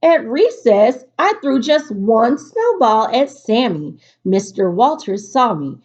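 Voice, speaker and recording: A woman teacher reading aloud to students in an expressive, instructive storytelling delivery. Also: a little high, slow pace. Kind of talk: picture-book read-aloud for a virtual class. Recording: dull, lo-fi recording.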